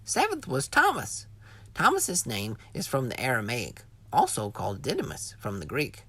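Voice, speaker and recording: A woman's voice: rumbling low, huge pitch swings, moderate pace, fairly bright tone, husky, no echo. A woman reading scripted educational narration as the narrator of a children's Bible lesson.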